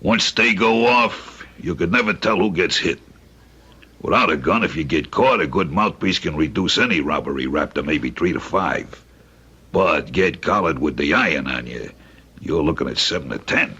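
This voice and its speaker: Raspy, deep, wisecracking getaway driver. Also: male voice, expressive, normal speed, pushed and bright, exaggerated voice. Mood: wary, wry. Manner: sardonic streetwise gangster talk. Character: wary, wisecracking young getaway driver, professional crook. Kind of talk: old-time radio drama acting. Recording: dull old radio recording.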